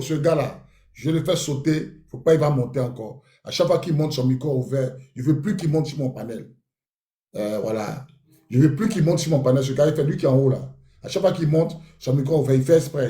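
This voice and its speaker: A man's voice: fairly high pitch, mellow. Chatty male host in an echoey room. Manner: chatty, repetitive, probing. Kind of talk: live audio room moderation.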